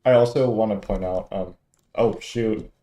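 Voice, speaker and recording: A man's voice: lowish voice, measured pace, dark tone. A man giving casual, chatty, rambling live commentary as a game streamer. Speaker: game livestreamer. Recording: roomy mic, faint game music.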